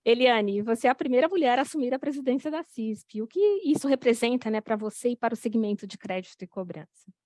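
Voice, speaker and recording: Woman host with a high voice. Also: fast, darkish tone, no echo, clean studio sound. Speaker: podcast host. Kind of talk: interview questions.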